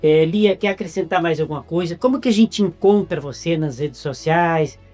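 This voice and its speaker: This is a man with a Brazilian Portuguese accent who hosts a relaxed interview. He jokes easily and often stumbles and restarts his words. Quiet music runs faintly in the background. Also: a little high, normal speed. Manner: playful, joking, stumbles over words. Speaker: interviewer host.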